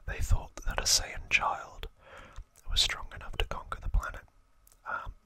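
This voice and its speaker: A host whispering softly and haltingly close to the microphone, explaining trivia informally in an ASMR style. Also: no room sound. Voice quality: soft unvoiced whisper.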